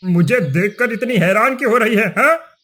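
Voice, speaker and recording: A man's voice: sits high, lively intonation, moderate pace, sharp tone, a little hiss or hum. A man voicing a cruel, greedy village headman in a cartoon story, sneering, accusing and blustering. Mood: angry, scornful. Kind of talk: cartoon moral story voice acting.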